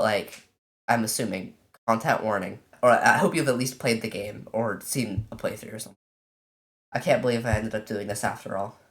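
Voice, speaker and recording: A let's player casually commenting on a visual novel and reading its text aloud, in an informal, halting way. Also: medium pitch. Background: game music.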